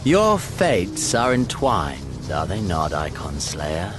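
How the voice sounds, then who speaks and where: An actor playing a bloodthirsty, grandiose imperial warlord prince obsessed with the hunt, in a theatrical video game style with archaic, formal phrasing. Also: male voice, low-pitched, wildly swooping pitch, slow pace, exaggerated voice. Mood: brooding reverence. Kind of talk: dramatic video game villain acting. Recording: dramatic orchestral music behind.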